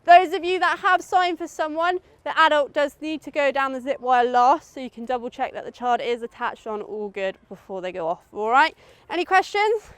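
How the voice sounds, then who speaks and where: A woman's voice: sits high, normal speed, dry recording. A woman with a British accent giving an informal, instructive safety briefing to a group, often checking they follow. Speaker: outdoor adventure course safety instructor.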